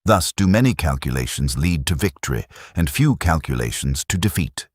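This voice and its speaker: Super deep guy narrating an old classic audiobook, deliberate delivery but lots of rise and fall. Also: lively intonation, slightly rough, no room sound, studio quality.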